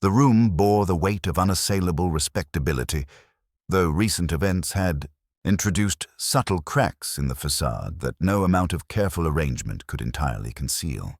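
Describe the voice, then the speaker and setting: A man reading scripted narration of a mystery story in a measured, formal and wry storytelling manner. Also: low-pitched, lively intonation, no echo, studio quality.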